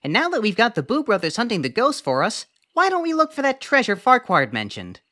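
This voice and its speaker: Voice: mid-range voice, huge pitch swings, fairly quick, pushed and bright. A performer voicing a small, eager, cheeky puppy in a cartoon, plucky and a bit bossy. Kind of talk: cartoon voice acting.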